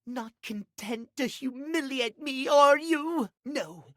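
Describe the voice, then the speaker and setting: A man's voice: extremely high pitch, slow pace, bright, forceful tone, dry recording, studio quality, exaggerated voice. A man voicing a prim, haughty, easily offended timekeeper in a video game, with pompous, theatrical formal phrasing. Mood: indignant, haughty. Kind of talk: video game character voice acting.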